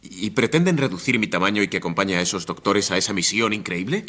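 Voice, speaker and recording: Doubtful mission agent, low pitch, bright forceful tone, earnest, formal, radio drama. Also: male voice, quick pace. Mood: doubtful, questioning.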